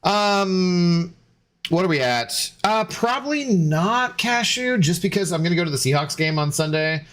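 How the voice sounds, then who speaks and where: A man's voice: sits high, expressive, unhurried, a bit bright. A young man, a game streamer, chatting loosely with viewers while tipsy, rambling with many fillers and restarts. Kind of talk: casual stream chat to viewers.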